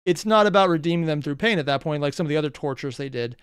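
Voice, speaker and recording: Clear, higher-voiced man giving casual commentary. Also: very fast, no room sound, clean studio sound. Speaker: reaction video host.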